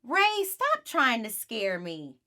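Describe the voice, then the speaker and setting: A woman's voice: fairly high pitch, wildly swooping pitch, slow, sharp tone, voice pushed up, heavily acted. A woman voicing a stubborn, curious young girl in a storybook, with an emphatic, protesting manner. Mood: annoyed.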